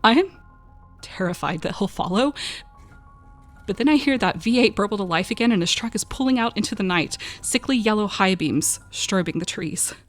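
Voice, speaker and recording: A woman performing dramatic first-person narration in an audio drama, expressive and breathy at tense moments, as a frightened young woman telling an eerie story. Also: mid-range voice, moderate pace, soft background music. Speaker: voice actor narrating a short story.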